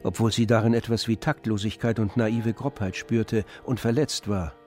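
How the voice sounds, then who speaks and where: A man playing the composed, observant narrator of a literary radio drama, with measured delivery. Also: on the low side, fairly even intonation, darkish tone, soft background music, natural voice.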